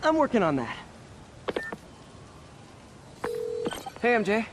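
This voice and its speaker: A man's voice: high-pitched, wildly swooping pitch, fast talker. An actor playing a wisecracking, earnest American superhero in his twenties in a video game, conversational and joking, with background noise. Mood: focused. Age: 20s. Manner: quippy, conversational. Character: wisecracking young superhero man, earnest, quick with jokes.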